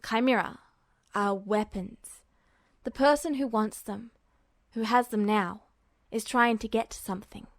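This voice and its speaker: Young foreign male soldier acted by a female reader, storybook dialogue, cool and wry, terse halting delivery, dry recording. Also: a little high, dry recording.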